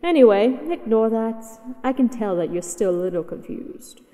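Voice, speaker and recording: A woman's voice: fairly high pitch, moderate pace, dark tone, long reverb tail, close to an everyday voice. A woman voicing a possessive, haughty dragoness in a scripted roleplay audio, speaking with condescending, teasing command. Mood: possessive, coaxing. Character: possessive, haughty, mighty female dragon captor.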